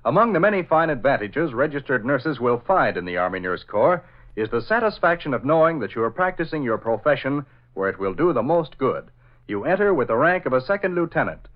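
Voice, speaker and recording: Male 1940s radio announcer: formal, emphatic, slightly high and very animated, dull recording.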